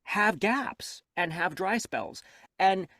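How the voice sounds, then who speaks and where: Thoughtful man with a slightly high voice. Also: animated, slow pace, pushed and bright, no echo. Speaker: audiobook narrator and coach, guest. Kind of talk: candid interview conversation.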